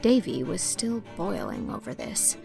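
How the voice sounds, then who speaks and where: Creaky-voiced woman narrating a storybook. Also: lowish voice, big pitch swings, measured pace, over music. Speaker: audiobook narrator. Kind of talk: scripted dramatic storybook narration.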